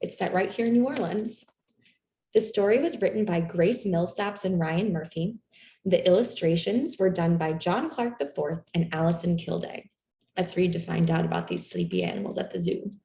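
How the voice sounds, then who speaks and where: A woman reading a children's picture book aloud in an expressive, animated storytelling manner for young listeners. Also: fairly low pitch, moderate pace. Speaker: storytime reader. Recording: roomy, echoey room sound.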